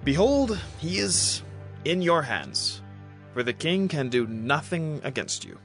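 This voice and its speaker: A man's voice: fairly high pitch, big pitch swings, slow pace, fairly bright tone, soft background music, heavily acted. An actor playing a fearful, weak-willed ancient king in a dramatized scripture reading. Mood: resigned.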